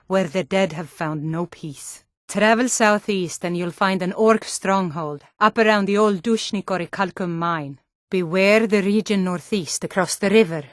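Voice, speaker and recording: A woman's voice: medium pitch, no echo, studio quality, natural voice. A woman voicing a hardy, forthright Nord townswoman and guard in a fantasy video game, speaking in an earnest, firm, plain-spoken way.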